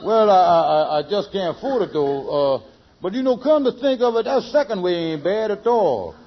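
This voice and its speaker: A middle-aged schemer from a 1940s radio comedy, full of bluster. His voice is high and husky, and he stammers and repeats phrases as he talks. The vintage recording is muffled. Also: sing-song, moderate pace. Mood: boastful, scheming. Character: scheming, blustering middle-aged con man, big talker. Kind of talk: 1940s radio sitcom acting.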